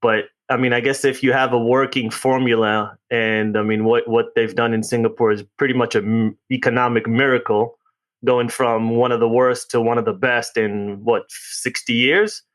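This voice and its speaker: A man's voice: medium pitch, level tone, normal speed. Male host, mid voice, chatty with frequent restarts. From United States. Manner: friendly, restarts often, conversational. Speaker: podcast host. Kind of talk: casual interview chat.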